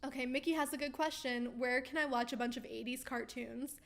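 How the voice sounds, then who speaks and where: Chatty woman host, voice going hoarse. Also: high-pitched, fairly even intonation, fairly quick. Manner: chatty and upbeat, with frequent ums and easy laughs. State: losing her voice. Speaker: host of a weekly live Q&A stream. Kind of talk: casual livestream chat, reading viewer comments aloud.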